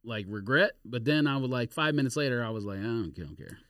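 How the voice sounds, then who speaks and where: A man chats on a podcast in an informal, explanatory way. His voice sits at a middle pitch, and the sound is close and free of echo. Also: animated, fast, a bit bright. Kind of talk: casual podcast chat.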